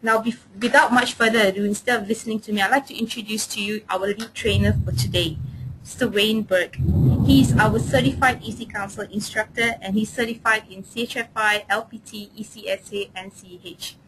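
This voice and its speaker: A woman's voice: fairly even intonation, fairly quick, fairly bright tone. Woman host over faint background noise. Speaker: webinar host introducing the trainer. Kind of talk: prepared formal introduction. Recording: noisy line with low rumble.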